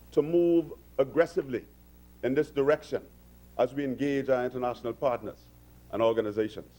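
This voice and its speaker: A man's voice: medium pitch, mellow, rough recording. A man from the Bahamas with a Bahamian accent, speaking as a minister in parliament, earnest and oratorical, with frequent restarts. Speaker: government minister addressing parliament. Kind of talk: parliamentary speech. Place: legislative chamber.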